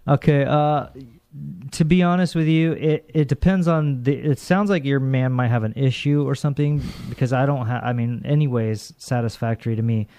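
Deep-voiced casual radio host, rambling. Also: male voice, fairly even intonation, moderate pace, mellow. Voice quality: deep bassy voice. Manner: rambling, hesitant, laid-back.

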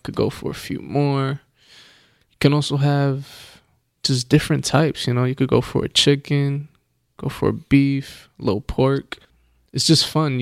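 A man's voice: mid-range voice, gravelly, no echo, clean studio sound. A man chatting casually as a podcast co-host, laid-back and slangy, laughing often. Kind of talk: casual chat. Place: Los Angeles.

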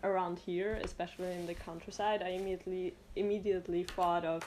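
A woman's voice: on the low side, flat delivery, quick pace, a little mellow, a little hiss or hum. A young woman from Austria interviewing a guest on a podcast, hesitant with many fillers and restarts. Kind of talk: casual conversation.

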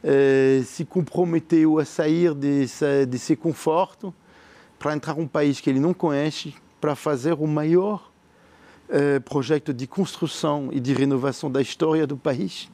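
A man with a French accent speaks Portuguese as a second language, earnest and visionary in his answers. His voice sits a bit high for a man and has a soft, mellow tone. He stumbles often, filling gaps and repeating small words as he builds his point. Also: fairly even intonation, measured pace, no echo. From France. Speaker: entrepreneur. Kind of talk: interview answers.

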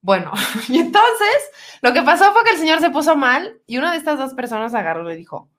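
A woman with a Mexican accent co-hosts a podcast in a fairly high voice. Her intonation swings widely as she chats and tells stories in colloquial, animated speech. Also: fairly quick. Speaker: podcast co-host. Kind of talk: casual chat and storytelling.

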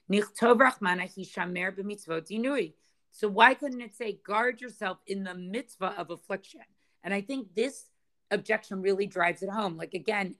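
A woman's voice: mid-range voice, a bit bright. Woman teaching a text, thinking aloud. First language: English. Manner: thinking aloud, frequent "right?" and restarts. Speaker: Talmud study teacher. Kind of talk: explaining a text passage.

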